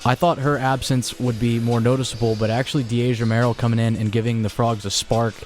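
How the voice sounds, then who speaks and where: A man's voice: medium pitch, flat delivery, brisk, darkish tone, soft background music. A young man hosting college radio, chatting between songs and running a postgame show. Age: young adult. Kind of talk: radio DJ patter and postgame talk.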